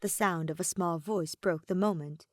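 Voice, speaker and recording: A woman reading fan fiction as scripted dramatic narration, soft, measured and expressive. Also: lowish voice, no echo, clean studio sound. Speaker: fan fiction audiobook reader.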